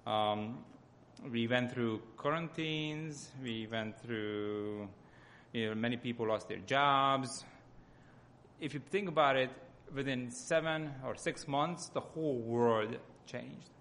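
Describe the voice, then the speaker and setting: A man with a Hungarian accent gives an informal sermon as a lay church speaker, often halting as he searches for words. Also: mid-range voice, a bit bright, a little hiss or hum.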